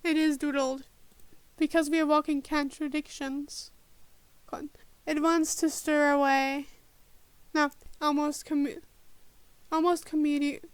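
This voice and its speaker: A woman with a high voice and a command of works through a text aloud at an unhurried pace. She stops and restarts often, mutters to herself and tests how words are pronounced. The sound is close and dry. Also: level tone, no room sound, poor audio. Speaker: podfic reader. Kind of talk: informal rehearsal of a read-aloud, checking pronunciations.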